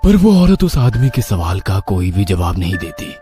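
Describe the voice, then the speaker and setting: Deep, animated male horror narrator over music. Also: moderate pace. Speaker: story narrator. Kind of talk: dramatic scripted horror story narration in Hindi. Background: background music.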